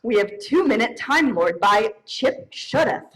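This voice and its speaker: A woman's voice: fairly high pitch, animated, measured pace, noisy background. A woman co-presenting awards live on stage in a playful, chatty, conversational way.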